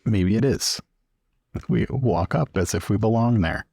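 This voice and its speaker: A man's voice: fairly low pitch, a little slow, vocal fry, a little mellow, dry recording, clean studio sound. A man playing a tabletop roleplaying game, moving casually between in-character talk and narrating what his character does.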